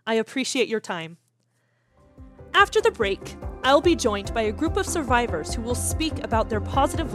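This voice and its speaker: A woman playing a poised, sympathetic TV interviewer with polished, formal presenter phrasing in an audio drama. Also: on the high side. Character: poised, sympathetic female TV news interview host. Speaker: TV interview host in a fiction podcast. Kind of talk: broadcast interview acting.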